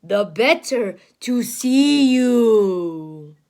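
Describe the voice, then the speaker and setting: A woman voicing a wicked, greedy male storybook wolf, sly and smug, with drawn-out gloating words. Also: a little high, lively intonation, creaky, dark tone, pitched below the performer's own voice, full character voice. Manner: drawn-out, menacing, gloating delivery.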